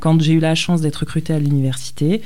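A middle-aged woman historian, a guest on a radio interview, who explains at length with frequent thoughtful pauses and hesitations. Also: sits low, fairly even intonation, mellow. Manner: thoughtful, many hesitations with "euh". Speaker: historian, university lecturer.

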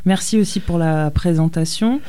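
A middle-aged woman historian, a guest on a radio interview, who explains at length with frequent thoughtful pauses and hesitations. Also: lowish voice, dark tone, clear, resonant tone. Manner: thoughtful, many hesitations with "euh". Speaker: historian, university lecturer.

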